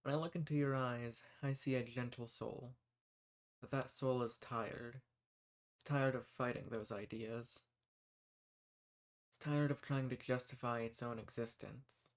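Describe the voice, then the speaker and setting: A man's voice: medium pitch, fairly even intonation, unhurried, pauses often, close to an everyday voice. A man playing a kind, folksy human rebel who earnestly reassures and shelters monsters in a scripted audio roleplay. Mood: gentle, comforting. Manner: folksy, reassuring, earnest, uses y'all and ain't. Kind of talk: scripted audio roleplay, speaking softly to the listener.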